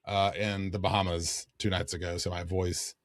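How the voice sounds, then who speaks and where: A man co-hosting a podcast, telling a story in casual chat, his voice a little raspy from singing. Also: low-pitched, moderate pace, dry recording, studio quality. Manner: animated storyteller, jokey. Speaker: podcast co-host.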